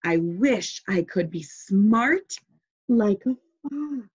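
Small storybook chameleon played by a woman, wishful, slow, slightly husky, dull lo-fi sound. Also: medium pitch, animated, exaggerated voice. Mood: longing. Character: small chameleon, wishful, forever longing to be different.

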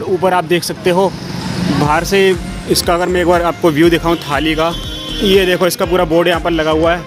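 A young man talks through a casual vlog walkthrough, chatting directly to his viewers. His voice sits a little high and is picked up by a phone held at arm's length. Music plays clearly in the background. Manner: chatty, addresses viewers as brother. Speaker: food vlogger. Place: small indoor restaurant. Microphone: handheld phone at arm's length.

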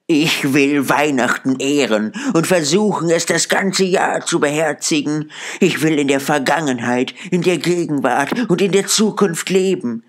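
A man's voice: measured pace, lightly acted. A man voicing an old miser who pleads in terror and desperation with a raised, strained voice. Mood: pleading. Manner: desperate, high-pitched pleading.

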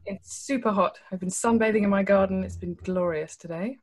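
A woman's voice: medium pitch, fairly quick, a little mellow, no echo, soft background music. A woman with an English accent chatting casually as a guest on a video call. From England. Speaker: guest writer. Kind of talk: casual chat. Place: indoor room, on a video call.

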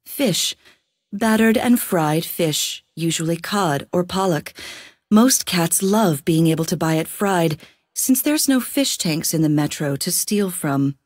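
A woman reading scripted food descriptions for a game in a sarcastic, deadpan way. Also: lowish voice, slow, no echo. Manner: dry, sarcastic deadpan delivery. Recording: dry studio recording.

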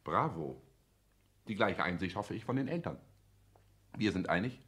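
An actor in a 1960s German radio play, voicing a cold, calculating young careerist in formal, declamatory, precise speech. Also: male voice, deep voice, expressive, moderate pace, fairly bright tone. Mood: self-assured. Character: cold, calculating young male social climber turned director.

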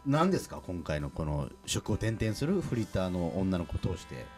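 A man's voice: sits low, very animated, normal speed, a little mellow, soft background music. A man hosting a radio show, chatting and announcing segments. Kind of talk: lively chat and announcing.

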